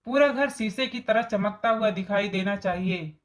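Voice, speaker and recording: A woman's voice: medium pitch, normal speed, lightly acted. A woman voicing a bossy, scheming young daughter-in-law in a storybook tale, scolding and commanding.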